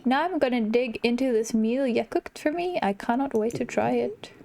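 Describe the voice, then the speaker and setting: A woman's voice: a little high, moderate pace, vocal fry, a little mellow, slight background noise. A friendly cartoon game sidekick, voiced by a woman, with a raised voice. The pal sounds chummy, folksy and politely upbeat, eager to be supportive. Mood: upbeat, concerned.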